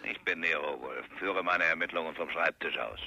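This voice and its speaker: An actor playing an irritable, busy police official in a crime radio play, sarcastic and brusque. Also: male voice, very deep voice, a little fast, pushed and bright, highs cut off, a little hiss or hum, full character voice. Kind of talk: crime radio drama dialogue.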